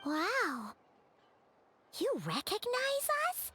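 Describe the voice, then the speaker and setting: A woman voicing a small, excitable, childlike fairy companion in a video game. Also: very high voice, drawn-out words, over loud music, full character voice. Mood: curious, cheeky. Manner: exclamatory, refers to self in third person.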